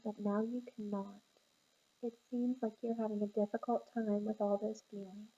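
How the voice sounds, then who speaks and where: Gentle teacher from a picture book, read by a woman, calm and reassuring. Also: fairly high pitch, dull audio. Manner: slow, soothing, reassuring. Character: calm, patient female teacher.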